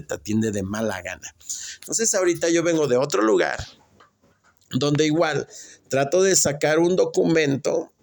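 Man, Mexican accent, medium pitch, noticeable vocal fry, informal monologue, conversational delivery. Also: a little mellow. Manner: conversational, acts out remembered exchanges. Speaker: speaker sharing personal experience. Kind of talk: casual personal monologue.

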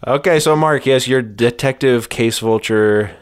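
A man's voice: medium pitch, measured pace, smooth. A man hosting a podcast in casual, joking conversation. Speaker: podcast host. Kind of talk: casual comedic chat.

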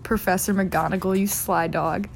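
A woman's voice: mid-range voice, steady tone, normal speed, gravelly, slight background noise, natural voice. A woman voicing a nervous, stuffy middle-aged husband who hesitates and mumbles, in a storybook reading.

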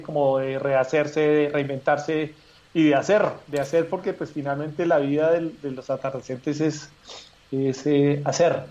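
A man's voice: on the high side, a little mellow, lo-fi sound. A male guest speaking reflectively with frequent hesitations over a remote call. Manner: reflective, hesitant, many "eh" pauses. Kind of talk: casual chat.

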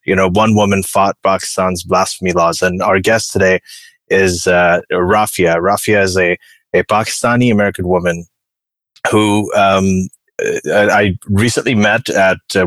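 A man hosting a podcast interview, speaking haltingly with many fillers and restarts. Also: low-pitched, steady tone, a bit bright, dry recording. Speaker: podcast host.

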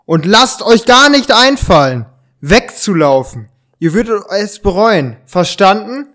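A man playing a sly, mock-stern gentleman who interrogates intruders in an amateur audio drama, in a halting, drawn-out way. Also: high voice, very animated, heavily acted. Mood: menacing.

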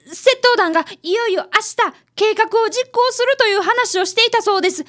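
A woman's voice: extremely high pitch, fairly quick, a bit bright. A woman playing a polite, earnest primary-school boy who is an apprentice detective and narrator in a radio drama. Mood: anxious.

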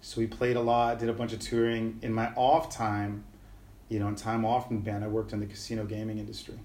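A man's voice: fairly low pitch, completely flat delivery, a little fast, darkish tone, echoey room, a little hiss or hum. A middle-aged man, a musician guest talking casually in an easygoing, rambling way with slang and fillers. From New York City. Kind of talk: casual conversational interview.

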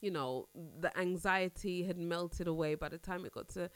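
Low-voiced stuffy-nosed woman. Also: normal speed, clear, resonant tone, no echo. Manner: chatty, candid, rambling with restarts. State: congested, nasal from a cold. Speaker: podcast host. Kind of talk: casual personal chat.

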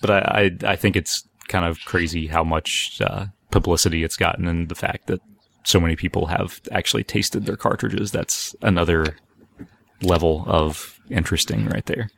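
A man's voice: low voice, fairly even intonation, moderate pace, vocal fry, fairly bright tone, dry recording. A man hosting a casual podcast discussion, feeling his way through sentences in a hesitant, meandering way. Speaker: podcast host.

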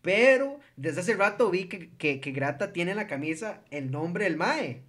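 A man's voice: high voice, expressive, a little slow, fairly bright tone. A young man with a Costa Rican accent hosting a casual group chat, laid-back and slangy with lots of filler words. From Costa Rica.

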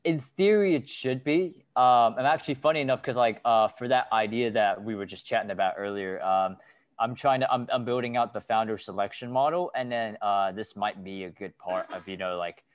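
Male podcast host, low smooth voice, dry sound, casual and halting, lots of fillers. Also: dry recording. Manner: halting, many fillers and restarts. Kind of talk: casual interview chat.

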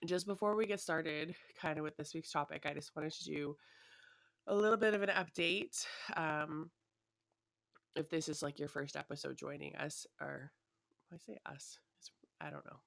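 A woman's voice: deep voice, normal speed, gravelly, fairly bright tone, no echo, studio quality. A young woman hosting her own podcast, talking in a chatty, rambling way with lots of fillers, still a little sniffly from a cold. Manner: chatty and rambling, many fillers, laughs lightly. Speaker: podcast host. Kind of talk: casual solo podcast chat. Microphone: her own desktop microphone.

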